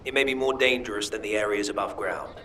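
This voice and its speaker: An actor playing an intense, scheming alien scholar in a video game, speaking in a formal, deliberate, theatrical way. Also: male voice, lowish voice, sharp tone, slight background noise. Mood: calculating.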